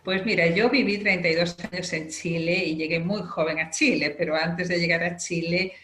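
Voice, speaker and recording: Middle-aged woman, kinda low voice, explains things smooth and thoughtful, echoey room. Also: steady tone, normal speed, fairly bright tone, a little hiss or hum. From Spain. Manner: fluent, explanatory, reflective. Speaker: political scientist guest. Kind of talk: radio interview.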